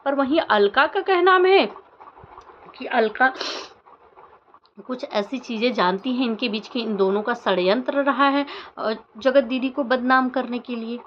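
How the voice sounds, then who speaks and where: A woman's voice: on the high side, lo-fi sound, slight background noise. A woman vlogger giving opinionated commentary to her viewers in an insistent way, with pointed rhetorical questions. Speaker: vlogger commenting on social media controversy.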